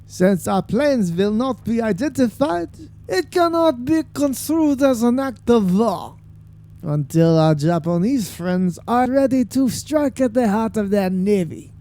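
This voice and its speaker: A cold, scheming villain, played by a man, in a radio serial. The voice is dark and mellow, with a deliberate, menacing way of giving orders. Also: very high-pitched, lively intonation, exaggerated voice. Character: cold, scheming male German spy ringleader, a villain. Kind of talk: 1940s-style radio serial villain acting.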